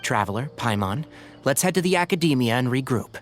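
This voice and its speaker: A man's voice: medium pitch, lively intonation, fairly quick, natural voice. A man voicing a composed, courteous young male investigator in a video game. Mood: reassuring. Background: background music.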